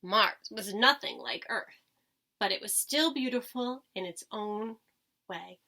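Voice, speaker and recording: A woman's voice: mid-range voice, expressive, slow pace, vocal fry, sharp tone. A woman reading a children's storybook aloud like a teacher, expressively, with dramatic emphasis. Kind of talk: expressive children's storybook read-aloud with short asides to listeners.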